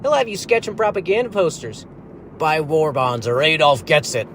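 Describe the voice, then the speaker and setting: A man's voice: on the high side, moderate pace, fairly bright tone, slight background noise. A man playing a teasing, wisecracking young soldier in an audio drama, jokey and casual.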